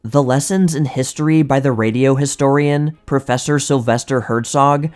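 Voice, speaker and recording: A man reading vintage magazine articles aloud as a scripted narration, in a measured, precise manner. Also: medium pitch, fairly even intonation, a little mellow, soft background music.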